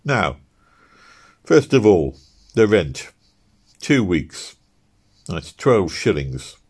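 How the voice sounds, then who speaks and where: A man's voice: sits low, big pitch swings, extremely slow, breathy edge, full character voice. A man voicing an awkward, earnest young working-class husband who stammers. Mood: businesslike. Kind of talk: audiobook character reading.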